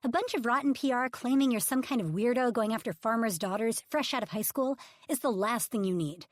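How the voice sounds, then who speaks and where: A woman doing a shrill high-strung Hollywood agent. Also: lively intonation, fairly quick, no room sound. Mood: angry scolding. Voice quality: high-pitched and strained. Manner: loud, scolding, sarcastic.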